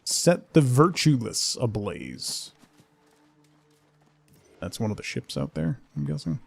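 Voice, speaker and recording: A man's voice: mid-range voice, huge pitch swings, takes it slowly, vocal fry, breathy edge, faint music behind. A man giving casual live game commentary, playfully muttering asides and short exclamations as he chats to viewers. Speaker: game streamer.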